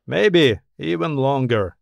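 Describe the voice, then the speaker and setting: A man's voice: medium pitch, animated, slow, gravelly, no echo, exaggerated voice. A man voicing an old, reclusive scientist in an audiobook, mild and evasive, with wry, understated teasing. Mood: patient explaining. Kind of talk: audiobook character dialogue.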